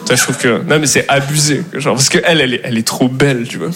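A man's voice: mid-range voice, fairly even intonation, fast talker, a bit bright. A man from southwest France doing a stand-up set, chatty and laughing as he acts out other people's voices. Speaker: stand-up comedian.

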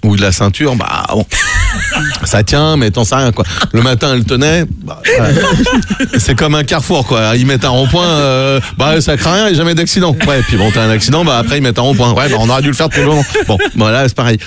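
A man appearing as a guest comedian in a radio studio, telling comic stories casually in an animated, rambling, digressive way. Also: medium pitch, brisk, over music.

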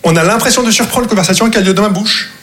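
A man's voice: sits high, rapid-fire. A man from Morocco, native Arabic speaker, performing self-deprecating stand-up with posh, old-fashioned diction and a throaty, muffled resonance. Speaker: stand-up comedian. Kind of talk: conversational stand-up comedy monologue. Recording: live comedy stage, loud audience laughter. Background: laughing crowd.

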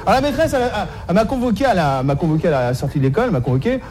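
A man's voice: sits high, big pitch swings, rapid-fire. A man doing a stand-up comedy monologue in slangy, streetwise, mock-naive style, repeating phrases as he goes, on a live stage recording.

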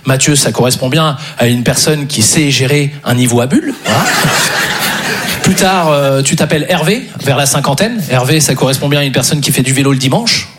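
A man's voice: mid-range voice, expressive, fairly quick. A man performing a conversational stand-up routine, explaining things in a wry way. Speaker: stand-up comedian. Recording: noisy live stage recording.

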